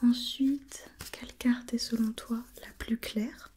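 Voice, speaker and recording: A woman whispering breathily in an ASMR role play, gently putting questions step by step as an examiner and softly repeating herself. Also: a little high, steady tone, slow pace, slightly rough. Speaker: examiner in a role-played visual test.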